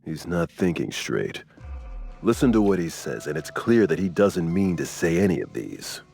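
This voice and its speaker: A man's voice: low voice, sing-song, moderate pace, creaky, a little mellow, faint music behind, full character voice. An actor voicing a blunt, wary male ally in a video game.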